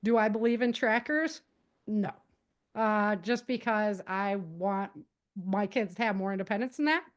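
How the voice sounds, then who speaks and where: A woman's voice: mid-range voice, a little slow. A middle-aged woman speaking as an interview guest, giving conversational advice emphatically, repeating key points and acting out example dialogue. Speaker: podcast guest, author and self-defense advocate.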